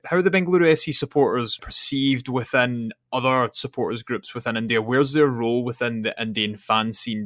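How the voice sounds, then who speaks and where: A man's voice: medium pitch, a bit bright, no room sound, studio quality. A man hosting a football podcast, talking enthusiastically and thinking aloud with many asides. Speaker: podcast host. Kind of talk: conversational interview.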